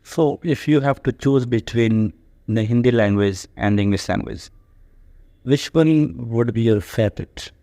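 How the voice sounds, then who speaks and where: Measured male interviewer, dry sound. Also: mid-range voice, vocal fry, mellow, no echo. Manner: measured, plain questioning. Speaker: English teacher hosting the interview. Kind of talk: interview questions.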